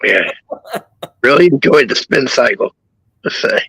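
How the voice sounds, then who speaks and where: A man's voice: mid-range voice, expressive, measured pace, fairly bright tone, dry recording, low-quality recording. A man who is losing his voice, talking in casual crude banter as a caller on a live stream chat show, laid-back and rambling. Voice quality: gravelly, husky.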